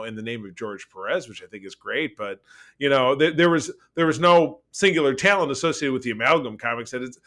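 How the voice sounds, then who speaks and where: A man co-hosting a casual pop culture podcast, talking in a halting, rambling, informal way. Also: medium pitch, sharp tone. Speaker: podcast co-host.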